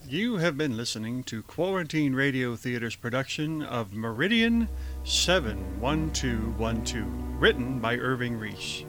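A man's voice: medium pitch, expressive, measured pace, over music. A man working as an announcer, reading scripted closing credits for a radio play.

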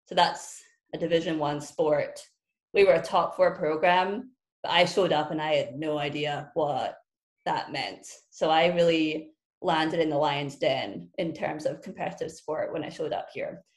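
A woman's voice: deep voice, steady tone, unhurried. Teasing Scottish woman, roomy echo. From Scotland. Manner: witty, teasing, blunt. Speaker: podcast guest. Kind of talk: casual podcast chat.

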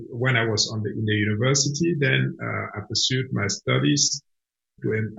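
Cameroonian-born man on a video call, gravelly, muffled audio, earnest and halting. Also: medium pitch, pushed and bright. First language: a Cameroonian language. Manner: earnest, with frequent restarts and fillers. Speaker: guest, mission organization director. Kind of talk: online interview. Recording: dull lo-fi online call.

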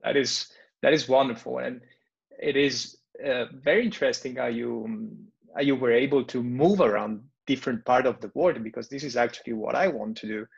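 Halting young European man, gravelly voice. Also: medium pitch, fairly even intonation. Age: young adult. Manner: halting, repeats words. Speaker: interviewer, computer science student. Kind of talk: interview questions. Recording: dull lo-fi sound.

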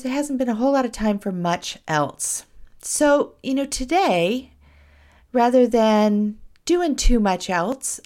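A middle-aged woman hosting a podcast, chatting animatedly and a little self-deprecatingly, her voice hoarse from a cold. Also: on the high side. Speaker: podcast host. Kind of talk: casual podcast chat.

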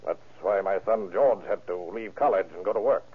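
An actor playing a proud middle-aged major with a stiff, formal manner in an old-time radio drama. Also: male voice, on the low side, a little fast, breathy edge. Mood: indignant, aggrieved. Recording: noisy, narrow vintage radio recording.

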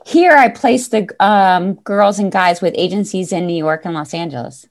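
A middle-aged woman hosting a podcast interview, eager and chatty with quick interjections. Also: mid-range voice. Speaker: podcast host, modeling scout.

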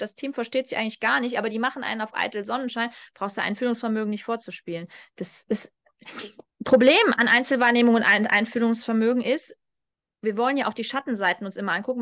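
Female podcast guest, strengths coach. Fairly high pitch, direct and emphatic, slightly dull recording. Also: a bit bright. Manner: emphatic, direct, with frequent checking tag questions. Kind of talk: explanatory coaching talk in a podcast.

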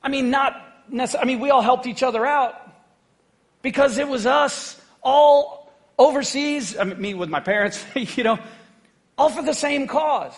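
High-voiced joking male pastor. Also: measured pace. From United States. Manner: conversational, joking, asks the congregation "right?". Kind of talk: sermon.

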